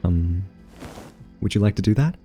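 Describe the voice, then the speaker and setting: A man playing a quirky scientist in an ASMR roleplay, soft-spoken and hesitant, gently patronizing as he coaxes a captive AI. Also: sits low, animated, soft background music. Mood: cautiously persuasive. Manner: hesitant, halting, politely condescending.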